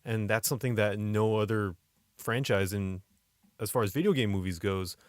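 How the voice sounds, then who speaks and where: A man's voice: on the low side, normal speed, dry recording, clean studio sound. A man co-hosting a podcast, discussing movies in a casual, conversational and opinionated way. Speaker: podcast co-host.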